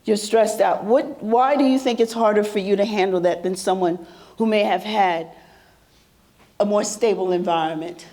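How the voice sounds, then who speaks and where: A woman's voice: medium pitch, normal speed, warm tone. A woman presenting a workshop to a live audience, talking conversationally with a soothing voice and regularly asking the room questions. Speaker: presenter at a mental health symposium.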